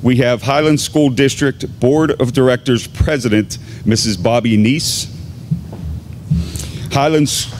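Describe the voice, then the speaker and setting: A man in his fifties, an assistant high school principal, giving a formal, deliberate graduation address at a podium microphone in a large gymnasium. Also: a little hiss or hum. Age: 50s. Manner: measured, deliberate announcing with pauses.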